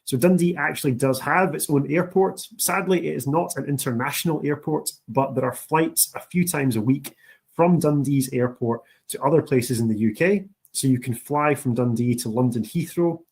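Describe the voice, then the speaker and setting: A man's voice: medium pitch, normal speed, a little mellow, slightly rough. A man with a thick Scottish accent, hosting a university training session in a cheerful, promotional way with dry jokes. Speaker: international officer and host. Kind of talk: presenting a recruitment training session.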